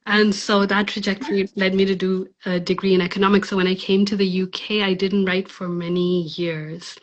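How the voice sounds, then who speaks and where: A woman's voice: mid-range voice, level tone, normal speed, muffled recording, a little hiss or hum. A woman from Pakistan, a novelist, talking about her writing in a casual interview, thoughtful and hesitant with many fillers. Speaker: novelist guest.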